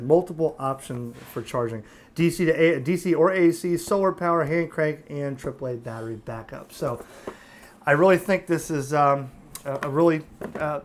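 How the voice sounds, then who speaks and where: A man giving a casual, unscripted product review, talking conversationally and haltingly as he thinks aloud. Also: fairly high pitch. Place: indoors at a table.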